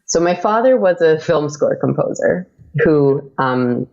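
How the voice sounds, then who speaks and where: A woman talks easily as a podcast guest, her voice carrying a gravelly rasp. She is chatty, fills gaps with "um" and "like" and laughs often. The recording is dull and lo-fi. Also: sits low, expressive, measured pace, darkish tone. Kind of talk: casual interview chat.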